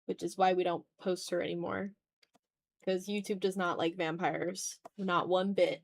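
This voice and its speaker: A woman's voice: lowish voice, flat delivery, measured pace, vocal fry, no room sound, clean studio sound. A woman chatting casually while she draws on a livestream, rambling in a self-deprecating way. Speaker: art livestreamer. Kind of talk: casual chat while drawing on stream.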